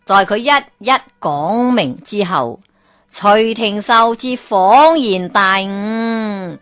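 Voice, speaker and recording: A woman storyteller narrating a folk tale in an animated way, with exclamations and character voices. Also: mid-range voice, slow pace, no room sound, clean studio sound, faint music behind.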